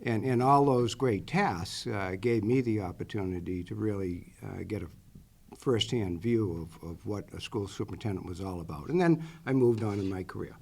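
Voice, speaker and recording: Man in his 60s, Boston accent, rambling with lots of uh's, lowish voice, meeting room interview. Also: normal speed, vocal fry, a little mellow, husky. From Boston area, Massachusetts. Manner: rambling, many "uh" fillers and self-corrections, chatty. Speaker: superintendent candidate. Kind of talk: public interview answer. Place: school committee meeting room.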